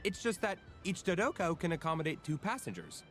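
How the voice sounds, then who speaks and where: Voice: mid-range voice, very animated, fairly quick, a bit bright, faint music behind. An actor voicing an eager, showy amusement ride attendant in a video game, formal with dry asides. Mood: polite, announcing. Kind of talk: animated video game voice acting.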